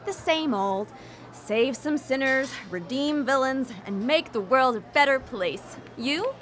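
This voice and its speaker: A woman voicing a gentle, encouraging spirit of virtue in a radio drama. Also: high-pitched, lively intonation, slow, slight background noise, full character voice. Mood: calm, wry. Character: kindly female virtue spirit named Temperance, encouraging guardian of conscience.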